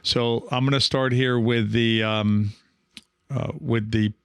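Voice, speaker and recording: A man's voice: mid-range voice, steady tone, takes it slowly, smooth, no room sound, studio quality. A man hosting a coaching podcast in a casual monologue, speaking in a hesitant, rambling way as he thinks aloud. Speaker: podcast host and pickleball coach.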